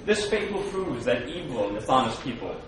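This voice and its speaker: A man tells stories in a dramatic, very animated read-aloud style. His voice is slightly high. The recording is strongly echoey and distant, with clear noise behind him. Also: brisk. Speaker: reader at a public read-aloud. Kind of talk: dramatic scripted storytelling. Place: live reading event before an audience. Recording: very echoey live room, distant, noisy.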